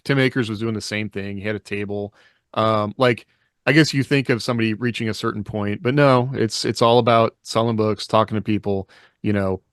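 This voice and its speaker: A man's voice: lowish voice, clean tone, no echo, clean studio sound. A man hosting a casual podcast chat in an easygoing, loosely phrased way, as if thinking aloud. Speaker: podcast co-host and author.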